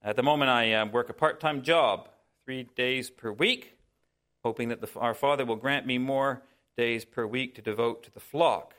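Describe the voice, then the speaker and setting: A man's voice: medium pitch, normal speed, a bit bright. A man preaching conversationally as a pastor, musing in a folksy way and speaking directly to his listeners. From Canada. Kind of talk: sermon, conversational with personal anecdotes.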